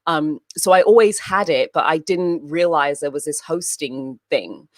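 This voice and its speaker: A woman with a low voice, raised in Papua New Guinea and the UK, chats animatedly as an interview guest. She tells stories freely and often breaks into laughter, heard in a dry, close recording. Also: a little slow, dry recording. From grew up in Papua New Guinea, then the UK. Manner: lively storyteller who laughs often. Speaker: interview guest, event host and moderator. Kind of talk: casual interview chat.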